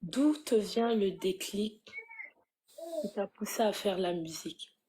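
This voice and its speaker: Slow, teasing female interviewer. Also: mid-range voice, dark tone, slight background noise. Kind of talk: interview questions.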